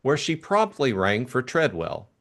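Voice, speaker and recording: A man narrating a classic novel as an expressive audiobook reader. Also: mid-range voice, unhurried.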